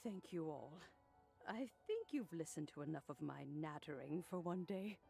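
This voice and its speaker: A woman playing a gentle, wistful old granny in a video game, speaking in a genteel, unhurried, reflective way over background music. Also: deep voice, expressive, creaky. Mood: kindly, lightly amused.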